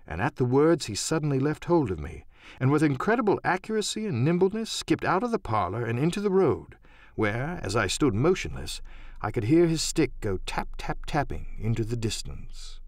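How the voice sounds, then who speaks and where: A man narrating an audiobook as a dramatic storyteller, theatrical and expressive in his delivery. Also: mid-range voice, normal speed, dry recording, studio quality.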